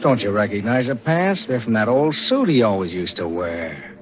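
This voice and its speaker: A man's voice: lowish voice, big pitch swings, moderate pace, crowd noise. An actor playing a scheming, nervous forger and killer who tells his crime in clipped hardboiled style, in 1940s radio thriller acting. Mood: urgent. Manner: confessional, clipped hardboiled narration. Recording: muffled vintage radio recording, music behind.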